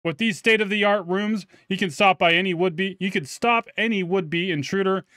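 A man's voice: high-pitched, normal speed, bright, forceful tone, no echo, studio quality. A man voicing a formal, promotional salesman of shady services, reading out a video game note.

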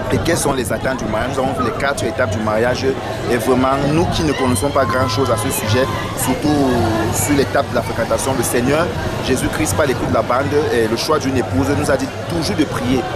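A young man on a street, earnestly giving thanks after a church youth convention. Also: medium pitch. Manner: earnest, fervent religious praise. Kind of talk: street vox pop interview. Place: outdoors on a residential street. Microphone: handheld interview mic. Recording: loud crowd and music behind.

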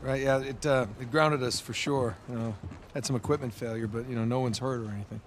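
An actor playing an easygoing, evasive American treasure hunter in a video game, speaking casually. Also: male voice, medium pitch, fairly even intonation, brisk, close to an everyday voice. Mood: evasive, reassuring. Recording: game audio over engine and ambient noise.

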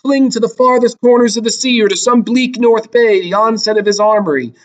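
A man's voice: very high-pitched, brisk, pitched above the performer's own voice. A man voicing a fearful, pleading chorus of elders in a Greek tragedy, declaiming in fervent incantation.

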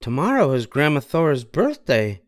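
A man's voice: medium pitch, huge pitch swings, moderate pace, no echo, voice pushed up. A storybook mother put on by a man speaks in a raised, firm but encouraging voice. The recording is close and dry. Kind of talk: storybook character voice.

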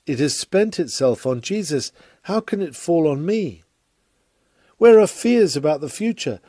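A man reading a scripted sermon aloud in a solemn, measured, oratorical manner. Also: on the high side, animated, warm tone, dry recording, studio quality. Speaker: sermon reader.

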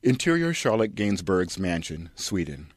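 Snarky guy host reading a comedy script, lowish voice, dry close sound. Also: big pitch swings, moderate pace, dry recording. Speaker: host and reader.

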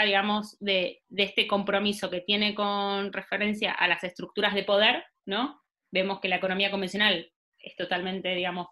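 A woman's voice: mid-range voice, pushed and bright. A woman in her thirties with an Argentine accent, leading an online workshop over a video call, thinking aloud with many fillers and restarts. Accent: Argentine Spanish. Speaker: workshop facilitator. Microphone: laptop mic on video call.

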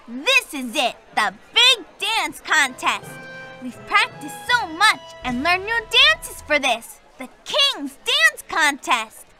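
Upbeat cartoon girl hero, high and lively, slow and clear, music under. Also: exaggerated voice. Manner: bright, encouraging, speaks to the player. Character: cheerful young explorer girl. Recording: game audio over clear music.

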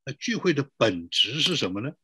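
A man's voice: mid-range voice, big pitch swings, fairly quick, bright, forceful tone, slightly rough, no room sound. A middle-aged man pastor giving a sermon to an online church, posing rhetorical questions and drawing out emphatic phrases.

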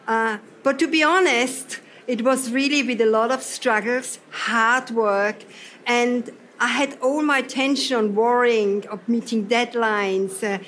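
A woman's voice: a little high, a bit bright, a little hiss or hum. Austrian woman leading a workshop talk. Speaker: seminar trainer. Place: event hall.

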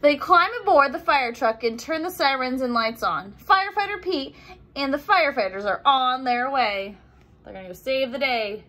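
A woman's voice: high voice, moderate pace. A young adult woman reading a children's picture book aloud expressively, acting out sound effects and adding simple explanations. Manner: animated, acts out sound effects and exclamations.

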